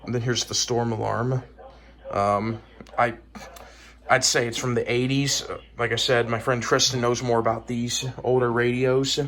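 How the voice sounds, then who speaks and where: A man's voice: medium pitch, little pitch movement, measured pace, a bit bright. Guy doing a casual collection show-and-tell, kinda rambly and hesitant, plain talk, unscripted. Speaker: hobbyist collector presenting weather radios.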